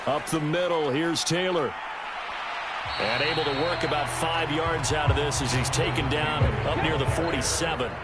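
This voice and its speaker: A man's voice: on the high side, steady tone, normal speed, a loud crowd, heavy background noise, lightly acted. A man with an American accent playing a polished football play-by-play announcer in a video game. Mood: focused, steady. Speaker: play-by-play sports commentator.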